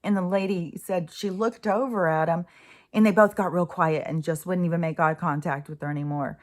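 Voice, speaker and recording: A woman's voice: on the low side, steady tone, brisk. A woman in her sixties talking casually and candidly in a rambling spiritual monologue, swearing freely. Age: 60s. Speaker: host.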